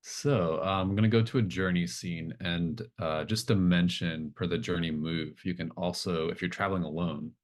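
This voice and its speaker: Male game master, very low voice, improvised and conversational tabletop delivery, supportive explainer. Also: fairly even intonation, moderate pace, few pauses. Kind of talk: running a tabletop roleplaying game, casual and improvised.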